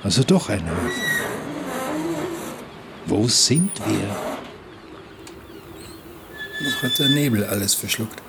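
A man's voice: lowish voice, lively intonation, slow, gravelly, pauses often, heavy background noise, full character voice. A man playing a bewildered, half-dreaming traveller alone on a ship in a radio play. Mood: uneasy, searching. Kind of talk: slow, fragmented radio play monologue.

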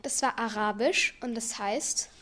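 A woman's voice: fairly high pitch, unhurried, sharp tone. A young teenage girl hosting a language quiz for a school radio report, with a teasing quiz-host delivery. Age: young teen. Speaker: student quiz presenter.

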